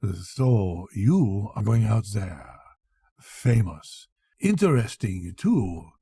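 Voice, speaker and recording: A man voicing an odd, shabby little doctor who mumbles slowly and speaks in a calm, matter-of-fact way. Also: fairly low pitch, husky, dry recording, clean studio sound, exaggerated voice. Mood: calm, probing.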